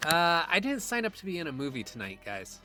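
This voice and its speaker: Lively-pitched, dry young guy played by an actor. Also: brisk, soft background music, heavily acted. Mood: wary. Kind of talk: audio drama dialogue.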